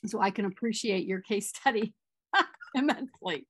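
A woman's voice: mid-range voice, normal speed, a bit bright. A middle-aged woman moderating a conference Q&A over a video call.

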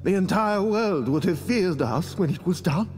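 Solemn, slow-spoken dying guild master. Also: male voice, high-pitched, expressive. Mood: grave regret. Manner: solemn, deliberate, theatrical delivery. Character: dying master of a glassmakers' guild, noble and sorrowful. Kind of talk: video game voice acting. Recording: loud music over the voice.

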